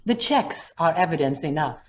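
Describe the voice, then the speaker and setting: A woman's voice: deep voice, expressive, moderate pace, highs cut off. A woman playing a ruthless, commanding lawyer in an old-time radio drama, hard and threatening in manner. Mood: coolly menacing. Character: ruthless, commanding female lawyer blackmailing a judge.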